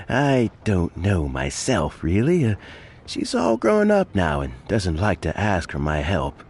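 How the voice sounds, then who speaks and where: A genial elderly game character, deferential and courtly. He speaks slowly with very animated intonation, over background music. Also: sits low, exaggerated voice. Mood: hesitant, concerned. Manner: courtly, hesitant. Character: genial elderly gentleman official, modest, deferential. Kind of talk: video game voice acting.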